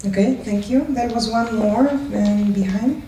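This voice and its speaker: A woman's voice: on the high side, unhurried, dark tone. A woman moderating a live discussion informally, thanking speakers and handing the floor around. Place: large echoey room with an audience. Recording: echoey hall, distant mic, faint noise.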